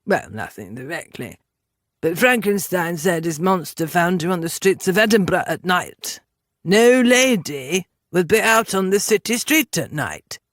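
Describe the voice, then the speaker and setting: A woman voicing a wealthy, condescending English gentleman in an audiobook, with formal and measured phrasing. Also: lowish voice, lively intonation, no room sound, clean studio sound. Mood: disdainful.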